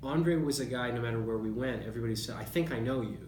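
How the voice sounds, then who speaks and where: A man's voice: mid-range voice, fast, a little mellow. Man in a roomy, noisy interview recording. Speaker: interview guest, boxing writer. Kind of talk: conversational sports interview, reflective storytelling. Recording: roomy, distant mic, noticeable background noise.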